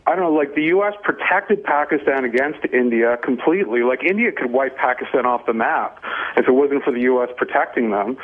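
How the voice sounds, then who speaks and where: A man's voice: mid-range voice, a little fast. Blunt male caller on a tinny phone line. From Quebec. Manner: blunt, casual and profane. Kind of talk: phone-in call. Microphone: telephone.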